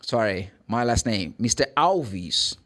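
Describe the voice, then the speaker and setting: Animated Brazilian man teaching English. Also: lowish voice, takes it slowly. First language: Portuguese. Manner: emphatic, asks and answers own questions, acts out short examples. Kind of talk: informal pronunciation lesson.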